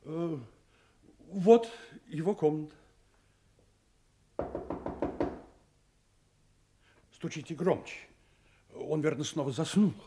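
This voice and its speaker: An actor playing a grim, uneasy ship's mate in an audio drama, speaking in husky, hesitant, heavy broken phrases. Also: male voice, fairly high pitch, a little slow, mellow, a little hiss or hum, lightly acted. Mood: uneasy, insistent.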